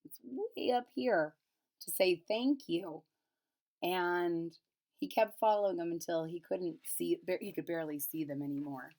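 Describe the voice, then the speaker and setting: A woman reads a children's picture book aloud expressively, pausing to explain and retell it in simple casual words. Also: fairly low pitch, a little mellow. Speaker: storybook reader.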